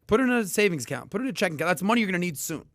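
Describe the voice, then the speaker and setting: A man hosting a casual podcast and explaining investing in an emphatic, animated way, with sudden loud bursts. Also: high-pitched, rapid-fire, no echo, clean studio sound. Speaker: podcast host.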